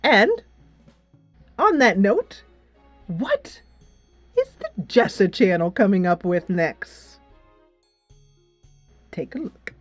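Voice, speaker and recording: A woman speaks as a chatty channel host reviewing games in an informal, playful way. Her voice sits at a medium pitch, recorded very dry with almost no room sound. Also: huge pitch swings, slow pace, long pauses, no echo, faint music behind. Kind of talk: casual solo vlog commentary.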